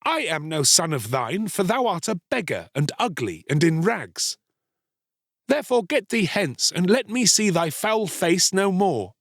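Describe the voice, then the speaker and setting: A man's voice: fairly high pitch, big pitch swings, normal speed, no echo, clean studio sound, voice pushed up. A man voicing a proud young boy in a storybook, with formal, old-fashioned speech. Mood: haughty, scornful. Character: proud, scornful young boy, cruel then remorseful.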